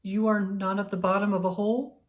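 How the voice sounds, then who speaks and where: A reader voicing a fretful, excitable male toad, an anxious and loyal friend, in a children's storybook. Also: mid-range voice, brisk, pitched above the performer's own voice, lightly acted. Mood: puzzled. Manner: worried, exclamatory. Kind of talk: children's storybook read-aloud.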